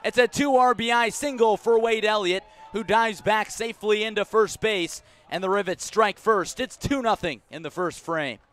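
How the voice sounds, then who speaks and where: An American man doing live radio play-by-play of a baseball game in a measured, descriptive style. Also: high-pitched. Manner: measured, descriptive calls that rise to excitement on big plays. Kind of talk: live radio sports commentary.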